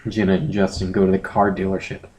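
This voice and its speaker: A man's voice: low-pitched, little pitch movement, fast, darkish tone. A man co-hosting a comedy podcast, moving between casual banter and mock-dramatic narration. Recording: roomy, echoey sound.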